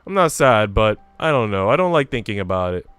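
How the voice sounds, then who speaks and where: A man's voice: fairly low pitch, big pitch swings, fairly quick, fairly bright tone, clear, resonant tone, no echo, faint music behind. A man voicing an indignant, wounded young maid in a visual novel, with earnest, formal wording. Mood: indignant, troubled. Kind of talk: visual novel read aloud.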